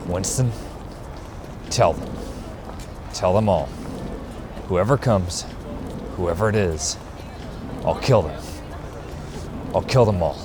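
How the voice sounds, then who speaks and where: An actor playing a cold, vengeful assassin who speaks tersely and deliberately in an action film. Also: male voice, low voice, animated, background noise. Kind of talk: cinematic action film dialogue.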